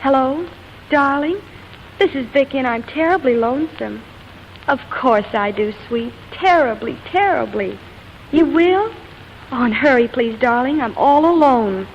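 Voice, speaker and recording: On a muffled, faintly noisy old soundtrack, a high-voiced young glamour girl speaks theatrically. She is haughty, fiery and coquettish, straight out of a 1940s comedy. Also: expressive, unhurried. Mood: sweetly coaxing.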